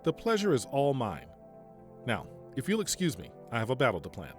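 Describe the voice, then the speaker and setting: A man playing a stern, principled army general in an audio drama, speaking formally and deliberately. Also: medium pitch, huge pitch swings, faint music behind. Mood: dry, commanding. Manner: formal, measured, deliberate speech. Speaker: military general giving a radio interview.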